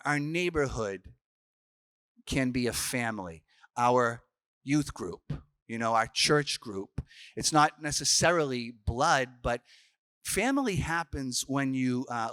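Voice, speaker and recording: A man's voice: medium pitch, a bit bright, no echo, clean studio sound. A man, a Franciscan priest telling stories in a casual youth workshop talk, full of restarts and fillers. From Boston, Massachusetts. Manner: chatty storyteller, frequent restarts and fillers.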